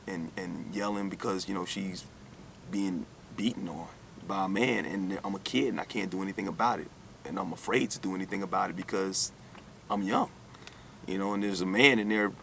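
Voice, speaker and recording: A low-voiced man from the United States shares personal memories as an interview guest. His delivery is candid and conversational, and he often repeats phrases as he goes. There is faint noise behind him. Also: gravelly, a bit bright. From United States, born in New York, raised in Alabama.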